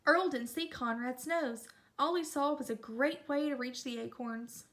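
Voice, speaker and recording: A woman giving an expressive read-aloud narration of a children's storybook. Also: high voice, a little slow. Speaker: storybook reader.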